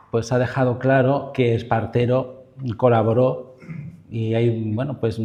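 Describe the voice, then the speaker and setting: A man's voice: mid-range voice, mellow. A man with an accent from Spain giving a history lecture as a professor, in a discursive, semi-improvised way with asides and checking tags. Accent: Spain Spanish.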